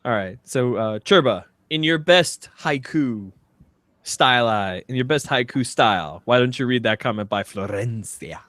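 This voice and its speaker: A man's voice: medium pitch, animated, moderate pace, a bit bright, dry recording. A man co-hosting a comedy podcast, bantering casually and doing comic readings. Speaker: podcast co-host.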